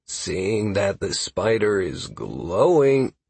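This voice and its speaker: A man's voice: deep voice, animated, slow, slightly rough, no echo, heavily acted. A man voicing a weary, sarcastic adventurer who mutters and mumbles in a fantasy audiobook.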